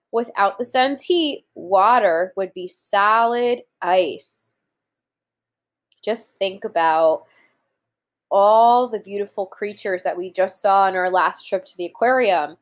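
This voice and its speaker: Woman in her 30s doing a kids read-aloud at home, takes it really slow, explains stuff simply. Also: medium pitch. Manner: deliberate, simple explaining for young children. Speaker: teacher reading aloud to young children. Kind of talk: children's read-aloud lesson with explanatory asides. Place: room at home, indoors.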